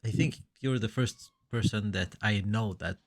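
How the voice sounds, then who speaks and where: Man, native Arabic speaker, podcast host, halting, video call. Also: on the low side, vocal fry. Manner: halting, restarts words often. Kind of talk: casual interview chat. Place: home near Tokyo.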